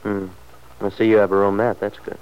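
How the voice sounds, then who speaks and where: An actor playing an earnest, apologetic married sergeant in an old radio soap, speaking in a hesitant, solicitous way. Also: male voice, on the low side, level tone, brisk, smooth, close to an everyday voice. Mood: concerned, gentle. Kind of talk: vintage radio soap opera acting. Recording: dull, noisy old radio recording.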